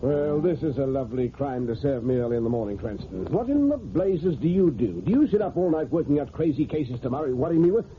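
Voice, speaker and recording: An actor playing an irritable, sceptical police commissioner in an old radio drama, blustery and exasperated. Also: male voice, medium pitch, big pitch swings, fairly quick, few pauses, music in the background, natural voice. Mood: grumbling. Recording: tinny, noisy old radio recording.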